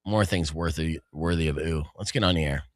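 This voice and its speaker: A man's voice: extremely low pitch, fairly quick, no echo. A man hosting a podcast in a studio, chatting casually and joking in a rambling way, with lots of fillers and self-corrections. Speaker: podcast host.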